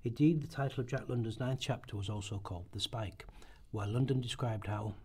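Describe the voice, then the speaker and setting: A man reading scripted documentary narration for a radio play rehearsal, in a measured way with occasional stumbles. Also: lowish voice, darkish tone.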